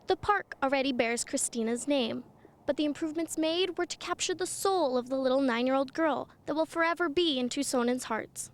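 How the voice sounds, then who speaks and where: High-pitched American woman reporting news. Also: brisk. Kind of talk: scripted broadcast news narration.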